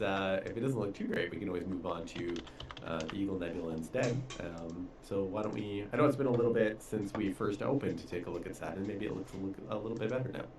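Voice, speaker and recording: A man co-hosting a casual live discussion, asking questions and explaining in tentative phrases. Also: on the low side. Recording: faint background noise.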